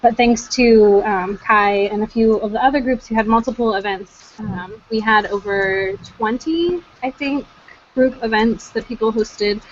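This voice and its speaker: A woman's voice: fairly high pitch, measured pace, a little hiss or hum. Woman hosting a lo-fi video call meeting. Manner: hesitant, many ums and uhs. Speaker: network coordinator, meeting host. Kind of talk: casual online meeting update. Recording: dull lo-fi video call audio.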